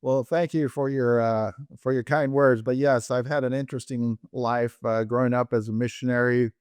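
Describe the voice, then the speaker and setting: A man in his sixties or older who grew up in Colombia, telling his own story conversationally in a halting way. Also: mid-range voice, steady tone, a little mellow, smooth, no room sound. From Colombia, raised by American parents. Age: 60s or older. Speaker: interview guest, orthopedic surgeon.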